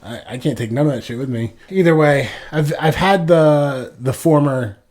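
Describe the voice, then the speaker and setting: A man in his thirties, congested and low on energy, co-hosting a podcast in casual, rambling chat full of swearing. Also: moderate pace, a little mellow. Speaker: podcast co-host.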